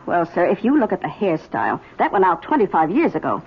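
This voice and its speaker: An actress playing a respectful, methodical police sergeant in an old-time radio drama, husky and matter-of-fact. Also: female voice, on the low side, wildly swooping pitch, a little fast, heavily acted. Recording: muffled old radio recording.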